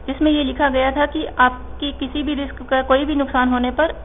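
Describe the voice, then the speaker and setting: A woman's voice: sits high, little pitch movement, moderate pace. A woman with an Indian accent giving a personal account over a narrow, phone-like recording. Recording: tinny phone-like line, faint noise.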